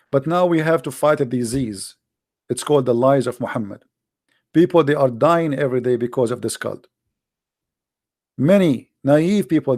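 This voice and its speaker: A man's voice: medium pitch, a little mellow, no echo, studio quality. Emphatic man with an Arabic accent. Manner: emphatic, blunt, repeats phrases, rhetorical questions. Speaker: host, religious polemicist. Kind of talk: impassioned informal monologue to online audience.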